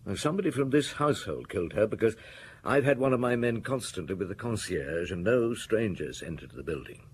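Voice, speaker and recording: An actor playing a weary, middle-aged male chief inspector in a radio drama, speaking in a measured, understated, reflective way with a husky voice. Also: lowish voice, animated, slight background noise, lightly acted. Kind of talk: radio drama narration and dialogue.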